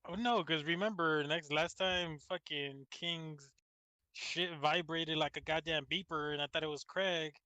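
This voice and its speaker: Bright-voiced, sarcastic man bantering on a podcast. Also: on the high side, steady tone, unhurried, no room sound. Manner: sarcastic, teasing, profane. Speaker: podcast co-host. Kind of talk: casual bantering group chat.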